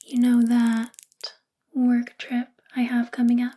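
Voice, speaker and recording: Woman acting a controlling female boss, ASMR roleplay, high breathy whisper, very even intonation, coaxing, intimate, possessive. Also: slow pace. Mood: sweetly controlling. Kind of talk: soft-spoken ASMR roleplay, often whispering.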